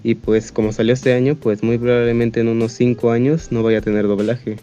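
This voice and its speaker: Mellow young Mexican man, muffled audio. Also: mid-range voice, level tone, fairly quick, faint music behind. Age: young adult. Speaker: interview guest, amateur fandub voice actor. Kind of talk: casual interview chat.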